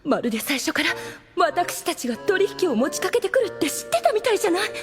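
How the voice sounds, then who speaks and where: A woman's voice: extremely high pitch, big pitch swings, fast talker, music in the background. A woman playing a proud, scheming demon woman in an anime audio drama, with theatrical, formal feminine speech. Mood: suspicious.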